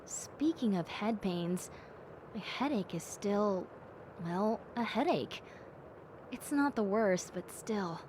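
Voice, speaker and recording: A woman voicing a gentle, cheerful young bat girl in a soft, playful and sincere audio roleplay. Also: mid-range voice, expressive, takes it slowly, noisy background. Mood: mildly pained. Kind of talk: audio roleplay addressed to the listener.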